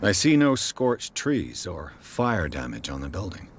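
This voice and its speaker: Low-voiced, skeptical detective. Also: male voice, very animated, a little slow. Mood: skeptical, probing. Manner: dry, pointed, questioning. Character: skeptical, probing private detective, brooding and blunt. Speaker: voice actor in a video game. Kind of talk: scripted game dialogue. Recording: clear background noise under the voice.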